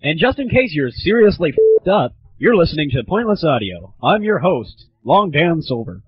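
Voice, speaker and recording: A man's voice: fairly high pitch, very animated, normal speed. A man talking casually as a comedic podcast host, in an irreverent, sweary, slangy manner. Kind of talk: casual comedic show talk. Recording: muffled phone-like lo-fi audio.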